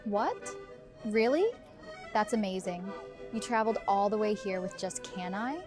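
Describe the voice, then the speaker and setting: A woman's voice: medium pitch, measured pace, natural voice. A woman playing a friendly, flirtatious stranger at a bar in a scripted radio drama. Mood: impressed, flirty. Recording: music behind the voice.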